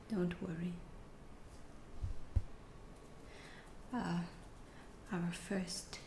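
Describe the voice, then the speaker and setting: A woman voicing a calm, reassuring alien abductor in an ASMR roleplay, speaking in a soft, breathy whisper with halting phrases. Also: medium pitch, noisy background. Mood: quiet, confiding.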